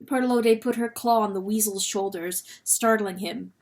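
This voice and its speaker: A woman reader gives a dramatic storybook narration at a medium pitch. Her delivery is expressive and suspenseful. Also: a little slow. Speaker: audiobook reader.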